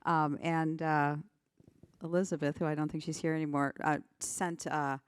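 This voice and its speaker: A woman's voice: sits low, level tone, normal speed, clean tone. A woman on a stage panel sharing stories and ideas into a handheld mic. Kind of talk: panel discussion.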